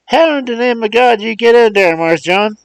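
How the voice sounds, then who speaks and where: A man's voice: very high voice, expressive, moderate pace, creaky, clear, resonant tone, dry recording, pitched above the performer's own voice, exaggerated voice. A man voicing an old male servant who rambles in a drawling, superstitious way. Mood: astonished. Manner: drawling dialect speech, wondering. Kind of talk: audiobook character voice.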